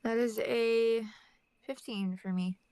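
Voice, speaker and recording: A woman's voice: on the high side, fairly even intonation, takes it slowly, dark tone, clear, resonant tone, no echo. A woman chatting casually as a player in a tabletop game. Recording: background noise with keyboard clicks.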